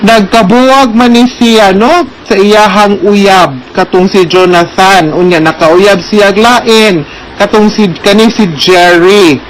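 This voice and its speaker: A Filipino man with a high-pitched voice talks as an advice host. He explains things in a conversational way, slipping into English and checking in with little tags. There is slight background noise on the recording. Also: steady tone, slow pace, rough recording.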